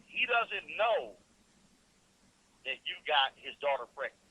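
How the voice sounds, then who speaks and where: A man's voice: fairly high pitch, sing-song, unhurried, sharp tone, highs cut off. A man hosting a call-in radio show, questioning pointedly and incredulously and repeating points back with emphasis. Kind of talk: probing call-in interview. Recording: noisy radio call-in line.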